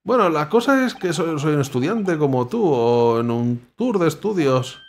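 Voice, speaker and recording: A man's voice: on the high side, very animated, moderate pace, slight background noise. A man reading the lines of a young male stand-in lawyer, hesitant and polite.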